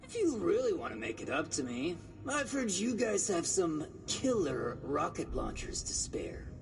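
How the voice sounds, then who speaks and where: Gravelly, wry soldier of fortune. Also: male voice, high voice, sing-song, a little slow, heavily acted. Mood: wry, teasing. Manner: wry, joking, nonchalant. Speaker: video game character. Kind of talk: scripted game dialogue. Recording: distant voice under loud music.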